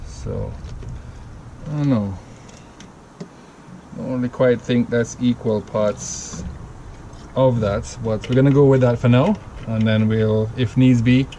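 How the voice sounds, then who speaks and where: A man's voice: mid-range voice, fairly even intonation, takes it slowly, mellow, slight background noise. A man from Jamaica with a Jamaican accent, casually talking through a drink recipe in a rambling, self-correcting way. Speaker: home cocktail vlogger. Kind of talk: casual step-by-step recipe demo.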